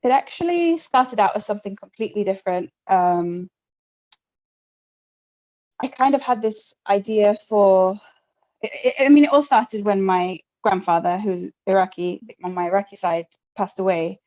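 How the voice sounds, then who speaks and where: A woman author who reads from her novel in a measured way, then answers questions in halting, thoughtful speech. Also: mid-range voice, darkish tone, lo-fi sound.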